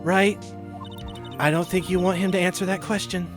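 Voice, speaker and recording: A man's voice: high voice, little pitch movement, moderate pace. A man chats casually as he hosts a game playthrough. His talk is informal and off the cuff, with faint game music underneath. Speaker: let's play host. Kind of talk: casual gameplay chat.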